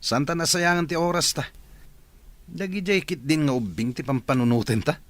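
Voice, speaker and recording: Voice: medium pitch, normal speed, no room sound, close to an everyday voice. An actor playing a smug, scheming partner in a radio drama.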